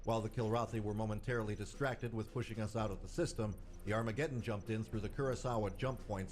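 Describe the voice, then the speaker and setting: A man playing a stern, demanding squadron commander, giving a formal, measured military briefing in a space game. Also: lowish voice, natural voice. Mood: grave, resolute.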